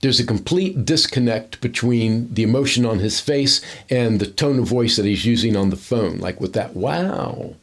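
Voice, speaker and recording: A man's voice: medium pitch, normal speed, hardly any pauses. A man giving analytical commentary on film acting in a conversational, explanatory way.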